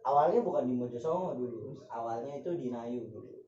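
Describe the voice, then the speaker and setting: A man's voice: mid-range voice, lively intonation, a little fast, warm tone, a little hiss or hum. A young man from Java chatting casually, joking in slang and laughing often. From Java, Indonesia. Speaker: guest. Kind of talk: casual chat with some singing. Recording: echoey, distant, dull room sound.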